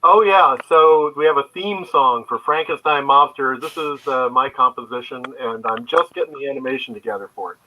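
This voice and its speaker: A man's voice: mid-range voice, a little fast, few pauses, bright, forceful tone. A man chatting casually as a guest creator in an interview over an online call. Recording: muffled lo-fi mic with static.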